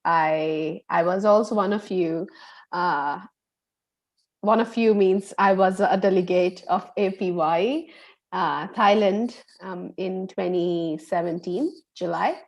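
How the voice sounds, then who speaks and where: A woman's voice: fairly low pitch, slow. A woman from Bangladesh hosting introductions on a video call, friendly and chatty with frequent laughs. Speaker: online program facilitator.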